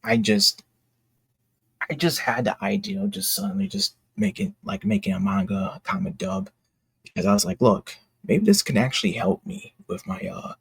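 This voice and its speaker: Low, gravelly, husky-voiced man. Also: big pitch swings, moderate pace, no room sound. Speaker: indie comic creator and video host. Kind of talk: casual behind-the-scenes commentary.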